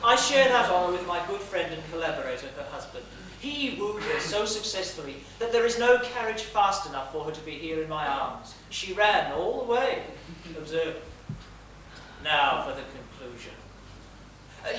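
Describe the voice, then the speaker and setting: A man's voice: on the high side, a bit bright. A man playing a vain, suave seducer in a stage comedy, pompous and theatrical as he confides in the audience. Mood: gloating. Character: smug, suave male seducer, vain gentleman narrator. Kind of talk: stage period comedy monologue. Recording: echoey theatre, distant mic, faint noise.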